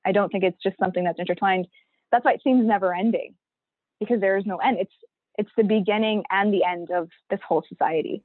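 A woman's voice: mid-range voice, fairly even intonation, quick pace, slightly rough, no room sound. A young woman guests on a casual podcast, speaking earnestly and building her argument with frequent "um"s. Her voice comes through a tinny, phone-like line with no room sound. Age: young adult. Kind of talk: casual conversational interview.

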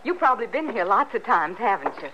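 A woman's voice: mid-range voice, very animated, fast talker, natural voice. An actress playing a guarded but hospitable young American frontier woman in an old-time radio western. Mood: earnest, welcoming. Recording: muffled vintage radio recording with noise.

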